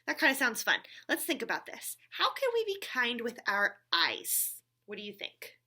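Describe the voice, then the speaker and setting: Bright-voiced woman hosting children's story time. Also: fairly high pitch, wildly swooping pitch, moderate pace. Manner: encouraging, simple child-directed teaching with frequent check-in questions. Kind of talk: talking to a puppet companion for young children.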